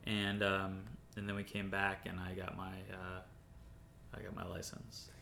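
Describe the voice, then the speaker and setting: A young man with a low, gravelly voice hosts a loose podcast conversation. He rambles through his thoughts, often dropping into quieter, mumbled asides. A faint rumble and hiss sit under the recording. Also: monotone, a little slow. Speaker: podcast host. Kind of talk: casual chat. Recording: faint background noise and rumble.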